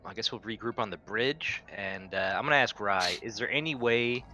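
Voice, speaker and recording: A man's voice: fairly low pitch, moderate pace, bright, forceful tone, muffled recording, a little hiss or hum. A man playing a tabletop roleplaying game, chatting casually in and out of character with a take-charge manner.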